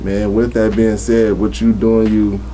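A man's voice: fairly low pitch, completely flat delivery, measured pace, dark tone. A man in his thirties hosting an interview in a studio, asking questions in a hesitant, stammering way with many fillers. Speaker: interview host. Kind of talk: casual interview. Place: small recording studio room.

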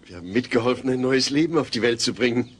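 An actor playing a gentle, patient middle-aged father in a German sitcom audio drama. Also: mid-range voice, fast, husky, lightly acted. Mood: earnest, happy.

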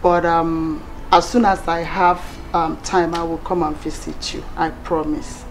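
A woman's voice: on the low side, flat delivery, a little slow, slight background noise, poor audio. An actress playing an earnest, apologetic young woman in her late twenties in a film drama, somewhat hesitant, with a Nigerian Igbo accent. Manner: hesitant, repeating words. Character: earnest, apologetic young city-working daughter.

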